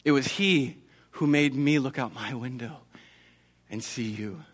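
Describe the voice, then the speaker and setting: A man voicing a kindly old shoemaker who speaks gently and quietly. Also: mid-range voice, a little slow, husky. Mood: gentle.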